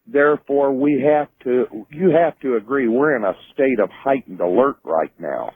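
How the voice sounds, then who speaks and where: A man calling in to a radio show, explaining earnestly in formal, deliberate wording. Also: medium pitch, lively intonation. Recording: muffled phone line to radio.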